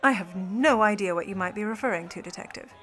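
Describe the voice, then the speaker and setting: An actress playing a poised, mischievous 1950s starlet with a secret sideline in burglary, cool, teasing and formal in old radio play style. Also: female voice, medium pitch, quick pace, fairly bright tone, soft background music, natural voice. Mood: arch, dismissive.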